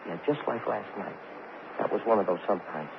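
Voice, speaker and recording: A man's voice: lowish voice, creaky. An actor playing a self-pitying, cornered drunk man who stammers and repeats himself in an old radio crime drama. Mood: anguished, pleading. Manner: halting, repetitive, stammering, street slang. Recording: muffled, hissy old radio recording.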